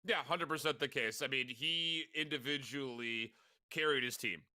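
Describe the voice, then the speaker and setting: Emphatic man with a very bright voice. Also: mid-range voice, moderate pace, no echo. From Chicago, USA. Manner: emphatic, stammers on restarts. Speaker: esports co-caster. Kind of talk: esports commentary.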